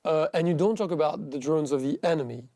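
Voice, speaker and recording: French man, gravelly, probing interviewer. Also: fairly high pitch, quick pace, mellow, dry recording, clean studio sound. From France. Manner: probing questions, brief backchannels. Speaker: interview host. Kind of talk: interview conversation.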